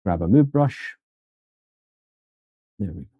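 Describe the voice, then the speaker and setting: A man with a fairly low, mellow voice talks his viewers through a sculpting session on a live stream. He is chatty and easygoing, cracks small jokes and calls his viewers folks. The sound is close and dry. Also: animated, slow pace, slightly rough, no echo, muffled recording. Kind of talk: casual live-stream tutorial commentary.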